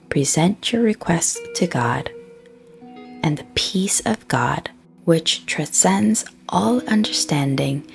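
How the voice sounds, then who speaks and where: Female meditation and prayer guide, soft and breathy with a creaky edge, very unhurried scripted read with frequent pauses. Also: low-pitched.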